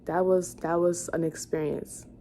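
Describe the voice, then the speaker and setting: A woman in her twenties speaks in a fairly low voice, as if chatting to her vlog viewers. She thinks aloud in a rambling way, doubling back to restart and correct herself. Some ambient noise sits behind her words. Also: flat delivery, measured pace, dark tone. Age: 20s. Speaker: vlogger. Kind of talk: casual chat, answering questions to camera. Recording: clearly noisy room ambience.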